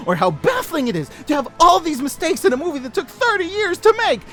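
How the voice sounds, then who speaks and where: A man in his thirties giving an opinionated scripted review commentary, sarcastic, animated and emphatic in his delivery. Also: extremely high pitch, fast talker, music in the background. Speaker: video host reviewing films.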